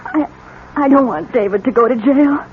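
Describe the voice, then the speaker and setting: A woman's voice: high voice, normal speed, phone-quality sound, lightly acted. An actress playing a bitter, wounded, defiant wife and mother in a melodramatic 1970s radio play, emotional and reproachful. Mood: pleading. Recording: noisy, band-limited old radio recording.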